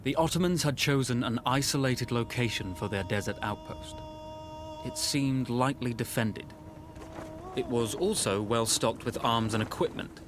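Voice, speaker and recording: An actor playing a composed officer in a video game, speaking politely with dry understatement. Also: male voice, mid-range voice, moderate pace, background noise, natural voice. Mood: measured narrating. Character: composed, wry British officer and rebel ally. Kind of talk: cinematic game acting and scripted narration. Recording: music behind. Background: music.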